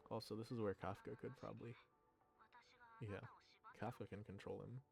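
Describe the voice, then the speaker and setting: Male let's-play host, low voice, loose musing commentary, faint music under him. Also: steady tone, gravelly, a little mellow. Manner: musing, halting, with restarts. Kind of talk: casual gameplay commentary. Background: game music.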